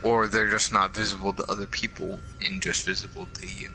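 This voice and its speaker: A teenage boy with a lowish voice chats with friends on a call, sarcastic and joking, stumbling over the starts of his sentences. The recording is noisy, with a steady hiss under his voice. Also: a little fast. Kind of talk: casual chat.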